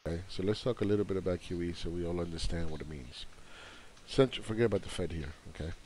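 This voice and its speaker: A man's voice: low-pitched, quick pace, darkish tone, a little hiss or hum. A man explaining at a whiteboard in a patient lecture, checking in with his listeners as he goes. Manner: patient, step-by-step, checks in with "okay". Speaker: market analyst explaining at a whiteboard.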